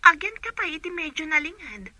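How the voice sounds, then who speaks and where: A woman's voice: sits high, sing-song, fairly quick. A woman playing an inquisitive young friend who gives advice in a radio drama. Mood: advising.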